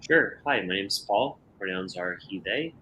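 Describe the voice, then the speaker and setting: Deep, bright-voiced man on a panel. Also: expressive, a little slow. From southern and eastern United States. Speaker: panelist, ceramicist. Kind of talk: self-introduction on a panel. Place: indoors on a video call. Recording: faintly noisy video call.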